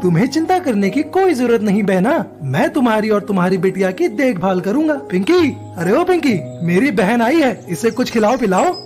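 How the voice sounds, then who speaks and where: A kindly male bird, voiced by a man from a children's moral cartoon. His voice is high for a man, earnest and mild. Music plays clearly beneath him. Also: sing-song, a little fast, hardly any pauses, darkish tone. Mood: caring. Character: kindly, soft-hearted male bird husband and brother. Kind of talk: Hindi cartoon moral-story dialogue.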